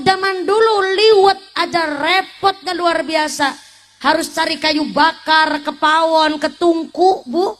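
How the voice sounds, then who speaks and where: A woman in her late twenties giving a humorous sermon, teasing the congregation with questions and mixing in regional words. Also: high-pitched. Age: late 20s. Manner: lively, teasing, asks the audience questions, mixes in Javanese and Sundanese words. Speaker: preacher.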